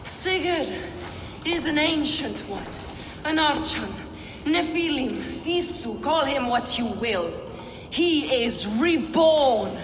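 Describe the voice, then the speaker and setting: A woman playing a fanatical, unrepentant zealot villain in a video game, preaching in a grand, deliberate manner. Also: high voice, animated, noisy background. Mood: reverent. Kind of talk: dramatic video game acting.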